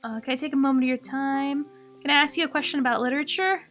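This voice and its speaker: Woman playing a bookish newspaper worker, polite, formal, slightly condescending, bright voice, game dialogue, faint music. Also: high-pitched, steady tone, fast. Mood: polite, earnest.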